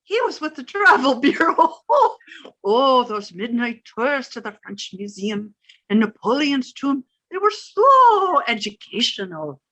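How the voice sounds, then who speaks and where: A bright, high, sing-song older Irish landlady, played by a woman. She chatters coyly and theatrically, swooping up and down as she gossips in old-time radio comedy fashion. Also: very animated, a little slow, heavily acted, low-quality recording. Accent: put-on Irish.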